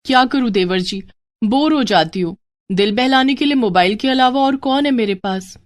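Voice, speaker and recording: A woman voicing a determined, caring young married woman in a dramatized moral story, with expressive, earnest delivery. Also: a little high, normal speed, pitched above the performer's own voice, natural voice. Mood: coy. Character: determined, caring young married sister-in-law, sly and warm.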